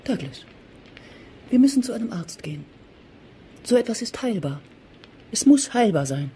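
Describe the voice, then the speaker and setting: A woman's voice: a little high, big pitch swings, normal speed, mellow, a little hiss or hum. An actress playing a bitter, commanding middle-aged mother who interrogates her grown son in a radio drama. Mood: bitter, outraged. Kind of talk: German radio drama acting.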